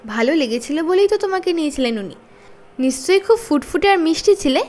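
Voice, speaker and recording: A woman's voice: high-pitched, expressive, fairly quick, darkish tone, slight background noise, voice pushed up. A woman voicing a frank, kind-hearted young schoolgirl in a children's story, direct and spirited. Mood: warm.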